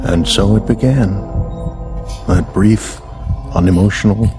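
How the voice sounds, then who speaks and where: Stoic astronaut commander, male actor, American, 30s, lowish voice, understated radio-drama delivery with music behind. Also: close to an everyday voice. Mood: somber reminiscence. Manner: understated, measured, wry. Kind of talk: radio drama acting and first-person narration.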